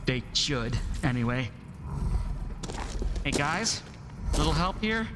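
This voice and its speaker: An actor playing a nervous, wisecracking young soldier from Earth in a motion comic, flippant and stammering. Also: male voice, on the high side, sing-song, takes it slowly, noisy background. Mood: nervous joking, then pleading, awkward. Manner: flippant, stammering, eager to be liked. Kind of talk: animated motion-comic acting and narration. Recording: loud music behind the voice.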